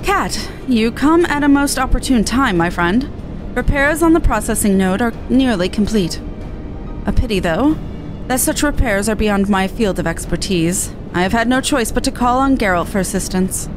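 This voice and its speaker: A woman's voice: on the high side, expressive, moderate pace, natural voice. Woman doing snooty formal scholar guy from a fantasy game, pompous n wordy, music behind. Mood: haughty. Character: haughty, scholarly male artificer, formal and sarcastic. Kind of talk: game dialogue read aloud in character.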